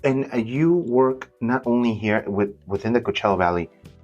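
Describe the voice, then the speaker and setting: Eager male podcast host, mid-pitched voice. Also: normal speed, darkish tone, lo-fi sound, faint music behind. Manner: enthusiastic, frequent short reactions. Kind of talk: casual interview.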